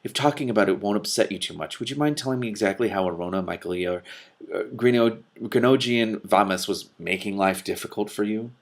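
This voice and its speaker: A man voicing a brisk, composed witch woman in a storybook, asking pointed, matter-of-fact questions. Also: lowish voice, lightly acted. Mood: inquisitive. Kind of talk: storybook read aloud.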